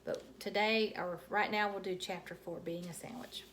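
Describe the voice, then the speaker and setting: Animated woman reading aloud, noisy home recording. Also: medium pitch, normal speed. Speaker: storyteller reading a children's book aloud. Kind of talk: animated read-aloud for children. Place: quiet house.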